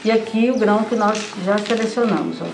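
A woman's voice: mid-range voice, brisk. Casual woman demonstrating, roomy distant sound. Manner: informal, addresses viewers directly with fillers. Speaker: coffee processing instructor. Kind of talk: hands-on demonstration. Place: bright indoor processing room. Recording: roomy, from a distance, music under.

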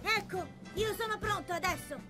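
A woman's voice: very high voice, normal speed. A woman voicing a cheeky, boastful young boy in an Italian children's cartoon, eager and self-important. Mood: heroic. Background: loud music.

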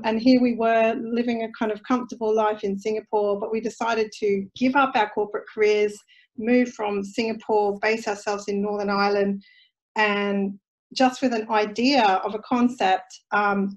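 A woman's voice: medium pitch, rough recording. A middle-aged woman with an Australian accent, a company founder telling her story on a video call. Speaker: panel guest, founder. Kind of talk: casual panel chat. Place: home room on video call.

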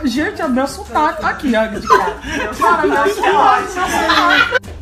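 A woman's voice: very high-pitched, very animated, slow pace, reverberant, over loud music, a loud crowd. A woman volleyball player with a Minas Gerais accent, joking playfully and laughing as she shows off her regional way of talking. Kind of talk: joking casual chat.

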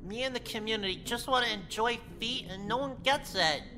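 A woman's voice: on the high side, brisk, natural voice. Woman playing an awkward creepy nerdy guy, echoing. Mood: blissful, creepy. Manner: awkward, overeager, fawning. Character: creepy, eager, awkward nerdy young man. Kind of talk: voiced visual novel dialogue. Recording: long hall reverb, clear background noise.

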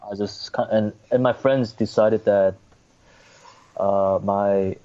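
A man's voice: on the low side, steady tone, slow pace, warm tone. A Korean-born man, a native Korean speaker, speaking English as a guest, hesitant and polite. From South Korea. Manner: hesitant, polite, lots of fillers. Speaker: baseball writer, guest. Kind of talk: casual interview chat. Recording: dull lo-fi remote line.

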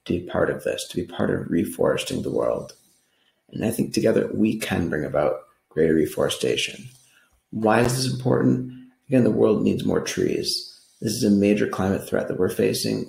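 An American man from New York giving an earnest, explanatory slide presentation pitch in an echoing room. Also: vocal fry. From New York, United States. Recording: roomy, echoing voice recording.

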